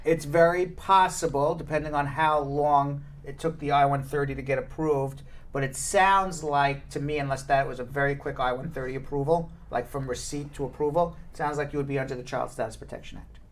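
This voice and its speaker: A man's voice: fairly high pitch, steady tone, fairly quick. A man hosting a live legal question show, answering viewers with blunt, joking replies. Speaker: immigration lawyer and show host.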